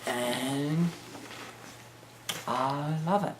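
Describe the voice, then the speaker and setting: A man's voice: medium pitch, drawn-out words, background noise. A teenage boy talking in a put-on British accent, rambling hesitantly through a joking mock interview with many ums and restarts.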